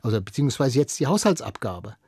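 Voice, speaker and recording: A man's voice: medium pitch, big pitch swings, fast, a little mellow, husky, dry recording, studio quality. A man giving explanatory answers as an expert guest in a radio interview, with emphatic phrasing. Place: dry radio studio.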